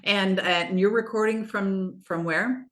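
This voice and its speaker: A woman's voice: medium pitch, steady tone, a little slow, fairly bright tone. A woman hosting a podcast, greeting listeners and introducing her guests in a friendly, upbeat way. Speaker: podcast host.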